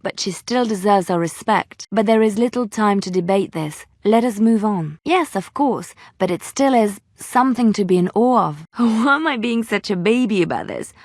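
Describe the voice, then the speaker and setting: Gentle young bard lady for a fantasy game, earnest, wistful, pauses to think, dry close sound. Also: mid-range voice, lively intonation, no echo. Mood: wry, light. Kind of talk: dramatic fantasy game dialogue acting.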